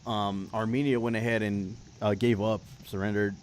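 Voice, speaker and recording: A man's voice: lowish voice, flat delivery, moderate pace. Man hosting casual talk, noisy recording. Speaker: political talk show host. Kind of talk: casual news discussion.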